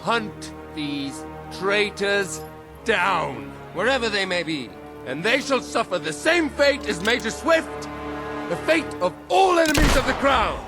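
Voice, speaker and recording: High-pitched, menacing royal villain. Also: male voice, very animated, over music, exaggerated voice. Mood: vengeful, menacing. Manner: slow, declamatory. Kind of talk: dramatic proclamation.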